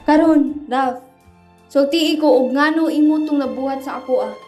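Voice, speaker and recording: Woman acting a high-pitched, wronged young girlfriend. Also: normal speed, close to an everyday voice. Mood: furious. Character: betrayed young girlfriend, hurt, angry and accusing. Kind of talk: amateur radio drama acting. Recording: echoey room with music underneath.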